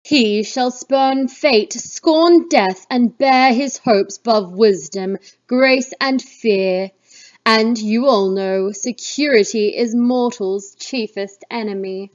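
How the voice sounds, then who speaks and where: A woman voicing a wrathful, commanding witch goddess in a slow, declamatory dramatic Shakespeare reading. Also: fairly high pitch. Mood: commanding, ominous. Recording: dull, muffled lo-fi recording.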